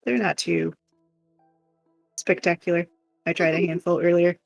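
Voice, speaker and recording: A woman with a gravelly, husky voice chats casually on a livestream. She rambles and giggles as she goes, over faint background music. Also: fairly low pitch, little pitch movement, a little slow. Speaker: live stream co-host. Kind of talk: casual livestream chat while crocheting.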